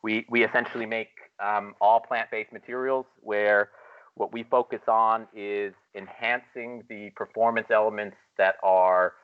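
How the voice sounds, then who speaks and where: A man speaking casually for a startup, rambling with frequent fillers. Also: lowish voice. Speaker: startup representative. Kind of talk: recorded video discussion. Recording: dull lo-fi sound.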